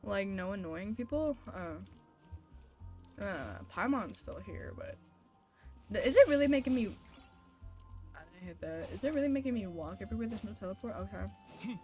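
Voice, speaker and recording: A woman's voice: mid-range voice, animated, moderate pace, pauses often, mellow, soft background music. A woman live-streaming a video game with casual commentary, playfully sarcastic as she talks back to chat and game.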